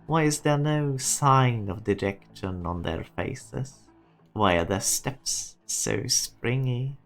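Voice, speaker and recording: A man playing an ambitious, proud self-made businessman, deliberate and speechifying, in a fan-dubbed game drama. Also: medium pitch, faint music behind. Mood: wistful, firm. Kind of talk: scripted fan-dub game drama monologue.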